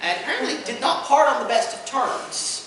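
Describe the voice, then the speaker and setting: A man's voice: extremely high pitch, very animated, brisk, a crowd in the background. A man hosting a fan convention panel, explaining things off the cuff with enthusiastic emphasis. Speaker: panel host. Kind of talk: unscripted fan convention presentation. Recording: very echoey room, distant, faint noise.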